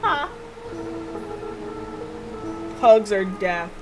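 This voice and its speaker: A woman's voice: mid-range voice, big pitch swings, takes it slowly. A woman hosting a casual game livestream, chatting with viewers and thinking aloud in hesitant fragments. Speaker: livestream host. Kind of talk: casual live game-stream chat with viewers. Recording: background music under the voice. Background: music.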